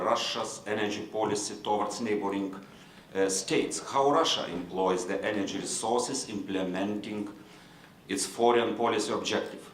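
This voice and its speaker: A man's voice: lowish voice, roomy sound, slight background noise. Lithuanian-accented man moderating a panel. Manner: formal, halting, many fillers and restarts. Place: conference room.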